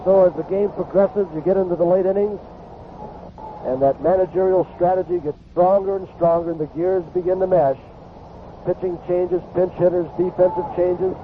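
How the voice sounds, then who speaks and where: A high-voiced man offers live baseball color commentary. The old radio sound is thin and narrow, with a little noise in it. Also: fairly even intonation, moderate pace. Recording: tinny, slightly noisy vintage radio broadcast.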